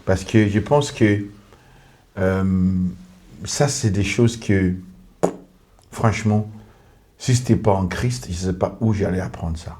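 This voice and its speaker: Earnest pastor. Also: male voice, low voice, takes it slowly, pauses often, mellow. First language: English. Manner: earnest, repetitive, lists in short clauses. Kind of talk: testimony in a podcast conversation.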